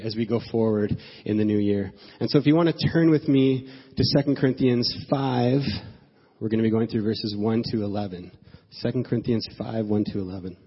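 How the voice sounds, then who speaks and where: Stuffy-nosed pastor in a reverberant hall. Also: male voice, medium pitch, husky. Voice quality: nasal. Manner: earnest, halting restarts, slow emphatic phrasing. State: stuffed up from a cold. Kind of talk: sermon. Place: church sanctuary. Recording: dull sound with long hall reverb.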